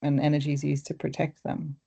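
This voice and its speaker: A woman academic speaking as a guest on a video call webinar, thoughtful and hesitant, with many pauses and restarts. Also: deep voice, level tone, creaky, mellow, slightly rough. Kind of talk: webinar discussion. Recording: dull video call audio.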